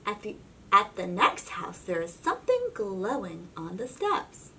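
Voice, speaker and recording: A woman reading a children's storybook aloud in an expressive, suspenseful narrating style. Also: medium pitch, a little slow, creaky, distant mic, a little hiss or hum, poor audio. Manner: expressive, suspenseful, with whispers and exclamations. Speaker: storybook reader.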